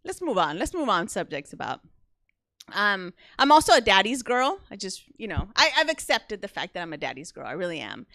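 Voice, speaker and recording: A woman's voice: mid-range voice, sing-song, fairly quick, fairly bright tone, dry recording. A young woman from Mexico with a Mexican accent doing stand-up comedy, chatty and self-mocking, laughing often mid-sentence.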